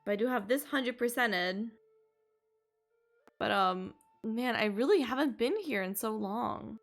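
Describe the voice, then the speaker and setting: A woman's voice: fairly high pitch, measured pace, soft background music. A woman livestreaming a game, with casual, informal and rambling commentary. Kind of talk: casual gaming stream commentary.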